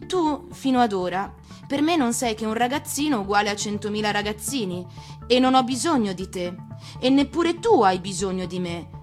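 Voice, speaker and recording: An earnest, gentle female fox from a storybook , played by a woman reader. She explains patiently and with expressive emphasis. Music plays behind her. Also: medium pitch, normal speed, voice pushed up, lightly acted. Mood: earnest, explaining.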